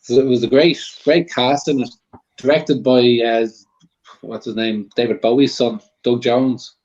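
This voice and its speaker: A man with a mid-pitched voice chats informally as a guest panelist. The recording is a little dull and lo-fi. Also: fairly even intonation, a little slow, darkish tone. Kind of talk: casual film debate chat. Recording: slightly dull lo-fi sound.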